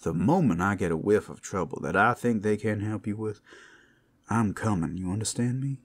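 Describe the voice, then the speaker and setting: A man playing a tender, protective werewolf lover in an intimate ASMR roleplay, speaking in halting, heartfelt phrases full of endearments. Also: on the low side, natural voice. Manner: soft endearments, halting heartfelt delivery, teasing asides. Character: tender, devoted, protective male werewolf lover working at a brothel.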